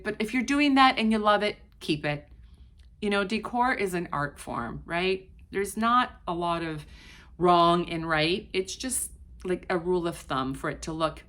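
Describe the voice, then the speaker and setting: A woman's voice: fairly low pitch, fairly bright tone. A woman whose first language is Portuguese, giving casual advice to her viewers from her home in a chatty way. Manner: chatty, addressing viewers directly. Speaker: vlogger. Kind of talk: casual talk to camera.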